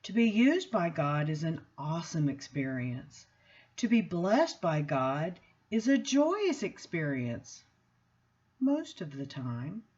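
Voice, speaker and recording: A woman reads from a book aloud in an expressive style, with measured, deliberate phrasing and emphatic pauses. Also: low voice, breathy edge, lo-fi sound. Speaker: seminary student reading aloud. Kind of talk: expressive book reading with spoken introduction.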